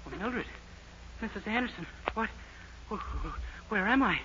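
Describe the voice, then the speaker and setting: A man's voice: high voice, animated, measured pace. An actor playing a naive young man who is hypnotized into a playboy, in a boyish 1940s radio comedy manner. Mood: bewildered, meek. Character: naive young man turned brash skirt-chasing playboy under hypnosis. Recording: dull, very noisy old radio recording.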